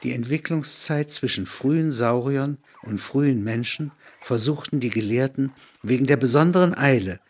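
A man reading scripted literary narration in an audio drama, measured and matter-of-fact. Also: mid-range voice.

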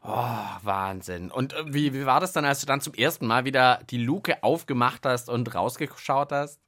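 A man's voice: medium pitch, normal speed, a bit bright. A man co-hosting a children's science podcast in a conversational style. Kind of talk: lively conversational presenting for children.

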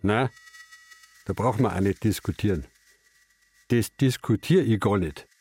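A man's voice: lowish voice, unhurried, darkish tone, husky. An actor playing a stubborn, laconic elderly father and former fisherman in a radio drama. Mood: gruff, stubborn. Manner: laconic, clipped phrases. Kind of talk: radio drama narration and dialogue.

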